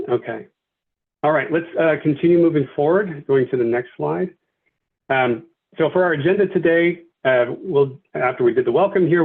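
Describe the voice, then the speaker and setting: A man facilitating a virtual committee meeting, thanking people and walking through the agenda with many fillers and restarts. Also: medium pitch. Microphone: video call. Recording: tinny phone-like video call audio.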